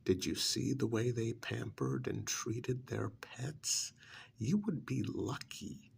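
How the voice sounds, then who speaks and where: Slow, gravelly, sardonic vampire recruiter played by a man. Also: on the low side, heavily acted. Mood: wistful, persuasive. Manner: sardonic, rhetorical, drawn-out pauses and prodding questions. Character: cynical male vampire's recruiter, sardonic and persuasive. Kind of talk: ASMR role-play monologue.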